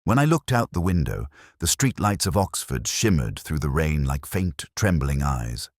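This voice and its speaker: A man playing a weary, melancholy older police detective, narrating a scripted crime story in a measured, solemn, literary manner. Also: very deep voice, big pitch swings, a little mellow, no room sound, studio quality, heavily acted. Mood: melancholy, brooding.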